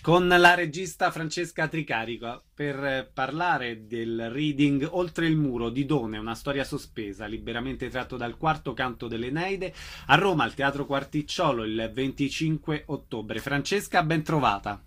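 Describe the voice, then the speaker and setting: Bright, forceful male radio host. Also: medium pitch, moderate pace. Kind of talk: radio interview and show announcements.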